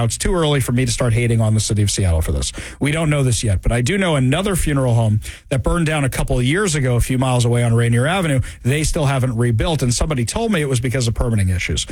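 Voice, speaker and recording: A man hosting a talk radio show, chatting in an animated, wry way. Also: husky, no echo. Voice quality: high-pitched laugh. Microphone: studio microphone.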